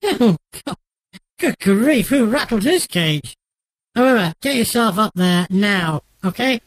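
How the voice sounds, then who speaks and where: A man playing a thin, energetic male office colleague in a radio drama, bossy, brash and emphatic. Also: high-pitched, measured pace, voice pushed up. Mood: exasperated.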